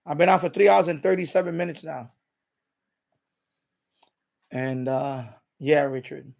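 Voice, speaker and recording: Male streamer, middle-aged, slightly high voice with heavy fry; casual, repetitive, explanatory delivery. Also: a little slow, darkish tone. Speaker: live stream host. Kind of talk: casual unscripted live stream chat.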